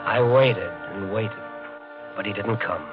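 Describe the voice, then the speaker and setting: An actor playing a desperate, overwrought American resort owner in an old radio drama, shifting between brooding narration and urgent dialogue. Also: male voice, fairly low pitch, measured pace, natural voice. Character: desperate, overwrought island resort owner telling his story. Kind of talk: 1940s-50s radio drama acting and narration. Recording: dull old radio recording, music behind.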